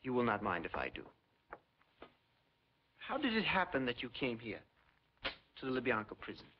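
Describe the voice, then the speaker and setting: Smooth, falsely courteous Soviet major. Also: male voice, mid-range voice, huge pitch swings, normal speed. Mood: suavely courteous. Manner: polite, unctuous, mock-sympathetic. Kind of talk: 1950s film acting. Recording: dull, noisy 1950s film soundtrack.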